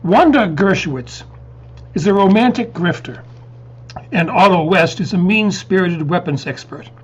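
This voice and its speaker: A man reads a scripted introduction as presenter. His voice is high and husky, his delivery slow, and the sound is a little muffled. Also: lively intonation.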